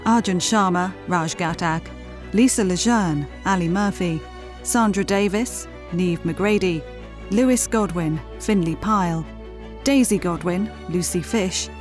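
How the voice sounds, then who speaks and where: A woman announcer reads scripted closing credits in a measured list. Her voice is on the low side, with soft music underneath.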